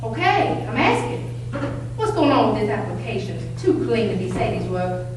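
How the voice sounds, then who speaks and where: A woman's voice: mid-range voice, sing-song, moderate pace, music in the background, lightly acted. A woman playing a bossy, folksy, suspicious Southern American office supervisor in a staged play reading. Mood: indignant. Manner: folksy, scolding. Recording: echoey hall from far off, loud hum.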